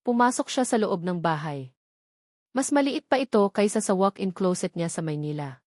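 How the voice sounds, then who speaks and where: Female Filipino storyteller for romance audiobook, dramatic scripted read, mid pitch, close dry recording. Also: lively intonation, moderate pace, darkish tone, no room sound. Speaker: audiobook narrator.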